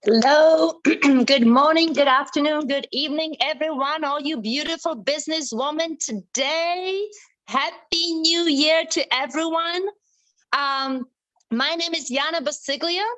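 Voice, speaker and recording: A woman's voice: high-pitched, measured pace, fairly bright tone, low-quality recording. A woman from the Czech Republic introducing herself on an online group call in an upbeat way.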